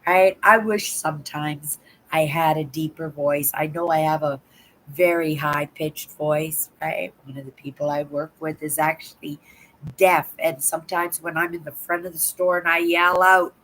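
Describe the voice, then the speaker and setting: Older woman, livestream host, hoarse from a sore throat; rambling chatty delivery, pitched slightly low. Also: fairly even intonation, moderate pace, a bit bright, slight background noise, low-quality recording. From Canada. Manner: rambling, chatty, keeps adding "right?". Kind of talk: casual live chat to viewers.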